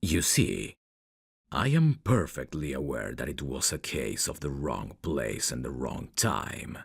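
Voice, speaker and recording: A man playing a menacing, teasing mafia boss in an ASMR roleplay, speaking in a deliberate, taunting way. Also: a bass voice, very animated, vocal fry, breathy edge, dry recording, studio quality, full character voice. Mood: calm, menacing. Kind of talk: ASMR roleplay audio drama.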